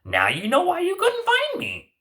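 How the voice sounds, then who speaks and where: A woman voicing a humble young man just freed from a curse, speaking softly and earnestly. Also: very high-pitched, wildly swooping pitch, moderate pace, voice pushed up, heavily acted.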